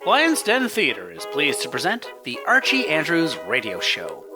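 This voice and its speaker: A man's voice: on the high side, wildly swooping pitch, moderate pace. A man announcing in the style of a 1940s radio sponsor read, with music behind.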